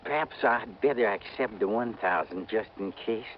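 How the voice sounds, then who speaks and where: An actor playing a sly, greedy French fixer who haggles with oily politeness in an old radio drama. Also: male voice, fairly low pitch, moderate pace, lightly acted. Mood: eager, oily. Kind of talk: 1950s radio drama acting. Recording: dull, slightly noisy vintage radio recording.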